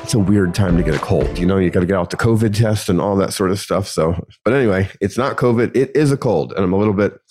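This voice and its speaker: A man hosting his own podcast alone, talking through a topic in a relaxed conversational way with many ums and restarts, his voice gravelly from a cold. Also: sits low, fast talker, few pauses, soft background music. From United States. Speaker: podcast host. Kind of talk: solo podcast monologue.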